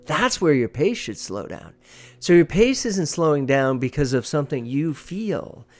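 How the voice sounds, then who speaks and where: A man's voice: a little high, very animated, a little slow, a little mellow, soft background music. Male presentation coach, emphatic and instructive. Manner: emphatic, repeats key phrases for stress. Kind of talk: informal instructional talk.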